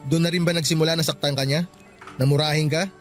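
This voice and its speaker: A man acting as a protective young Filipino office guy in a radio drama. His voice sits high and moves quickly, earnest and emphatic as he looks out for his friend. Light music plays underneath. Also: fairly even intonation, natural voice. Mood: indignant, protective. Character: caring, protective young male office worker, indignant friend.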